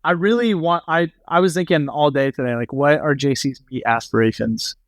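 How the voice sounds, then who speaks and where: A man's voice: on the high side, normal speed, no room sound. A man from the Pacific Northwest of the US, a guest on a casual podcast, teasing and laid-back.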